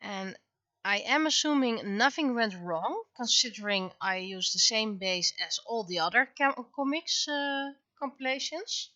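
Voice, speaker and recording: Slow, halting woman streamer, bright voice. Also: a little high, lively intonation, no echo, lo-fi sound. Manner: halting, thinking aloud, talking to herself. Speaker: livestreaming webcomic artist. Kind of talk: casual livestream chat while working.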